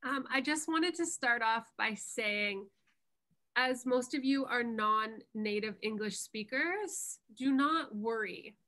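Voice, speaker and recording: A woman's voice: fairly high pitch, bright, forceful tone. A woman with a Canadian accent, a pronunciation coach giving an encouraging online workshop lesson over a video call. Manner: encouraging, unhurried teacher.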